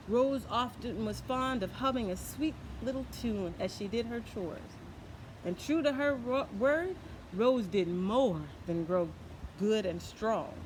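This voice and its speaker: A woman telling a children's story aloud, expressive and folksy, now and then adding a comment of her own. Her voice sits a little high. Steady background noise and the sound of passing vehicles run under the reading. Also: low-quality recording.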